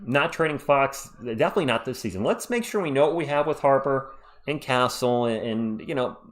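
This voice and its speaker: A man hosting a live sports stream, chatting casually and reading viewer comments aloud, his voice worn out. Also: medium pitch.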